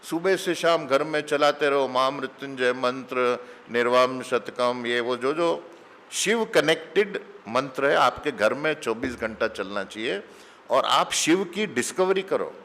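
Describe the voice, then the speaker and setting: Spiritual teacher, man, middle-aged, unhurried storytelling sermon, mid-pitched, long hall echo. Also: expressive, a bit bright. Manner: slow, mixes English phrases into Hindi. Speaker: spiritual teacher giving satsang. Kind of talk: conversational sermon with anecdotes.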